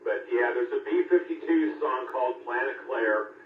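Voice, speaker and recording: A man's voice: medium pitch, moderate pace, far from the mic. A man chatting casually and telling rambling anecdotes as an amateur operator on a ham radio net. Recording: muffled, hissy ham radio transmission, boxy room echo.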